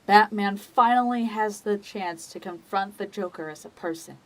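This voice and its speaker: A woman giving dramatic scripted narration for an audio drama, in a measured and solemn manner. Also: medium pitch.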